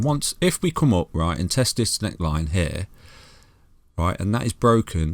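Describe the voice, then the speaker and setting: A man's voice: sits low, a little mellow, no room sound. A man with a soothing voice walks through crypto charts in a casual, chatty way, calling listeners "guys" and "mate" and restarting phrases often.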